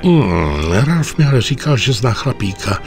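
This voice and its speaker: A man playing a commanding, middle-aged mafia boss in a video game, speaking with deliberate authority. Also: medium pitch, big pitch swings, creaky, darkish tone, faint music behind. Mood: scheming, commanding. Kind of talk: dubbed video game cutscene acting.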